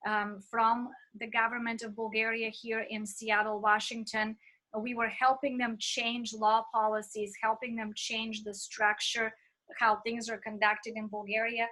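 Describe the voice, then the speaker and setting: A woman's voice: a little high, bright, forceful tone, rough recording. A middle-aged woman who speaks with an accent, teaching a recorded online ministry class with earnest personal stories and frequent fillers. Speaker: ministry instructor.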